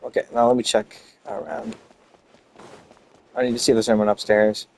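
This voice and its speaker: A man's voice: lowish voice, level tone, moderate pace, gravelly, darkish tone, slight background noise. A man streaming a game, giving casual commentary in a rambling, hesitant way.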